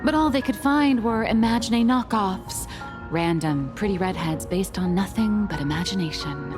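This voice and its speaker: A woman's voice: medium pitch, lively intonation, moderate pace, over music, lightly acted. A woman voicing a glib, theatrical young trickster in a video game, playful and dramatic in her delivery. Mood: gossipy.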